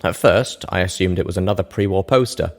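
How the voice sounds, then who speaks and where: A man narrating a first-person fantasy story from a script, measured and expressive. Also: low voice. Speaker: narrator of a fan fiction reading show.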